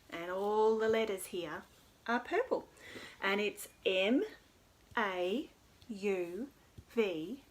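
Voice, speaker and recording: A woman hosts a storytime for young children, speaking in an encouraging, sing-song way with simple phrases. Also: medium pitch. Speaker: children's storytime host at a library.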